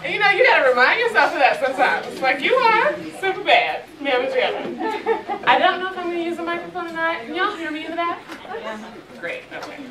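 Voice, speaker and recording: Sassy woman hyping a loud crowd. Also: extremely high pitch, very animated, brisk. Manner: sassy, playful, loud and commanding. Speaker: motivational conference speaker. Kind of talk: spoken-word intro and live crowd motivation. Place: conference hall, indoors. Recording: echoey hall, distant, over loud music and a crowd.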